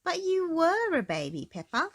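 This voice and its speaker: Woman as an animated, patient mother pig. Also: extremely high pitch, normal speed, voice pushed up, heavily acted. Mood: amused, explaining. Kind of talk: children's storybook character voice.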